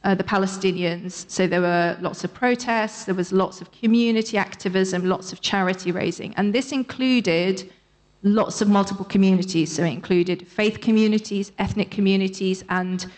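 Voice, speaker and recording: A woman speaking as an academic panellist at a conference, explaining her answers in a measured way. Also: mid-range voice. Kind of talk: answering audience questions on a panel.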